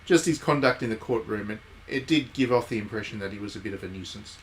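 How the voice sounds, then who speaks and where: Low-voiced man streaming a game, noisy background. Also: fast talker. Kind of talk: casual live playthrough commentary, reading game text aloud.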